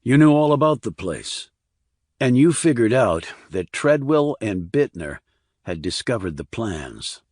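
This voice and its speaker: A man voicing a hard, calm military investigator in an audiobook thriller, cool, blunt and controlled. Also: mid-range voice, unhurried, no room sound, studio quality. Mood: accusing.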